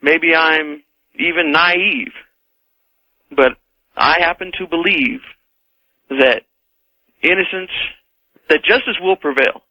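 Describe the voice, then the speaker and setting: Higher-voiced man, halting, on lo-fi radio call. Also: sharp tone. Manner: halting, repeats phrases for emphasis. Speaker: radio caller. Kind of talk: conversational debate.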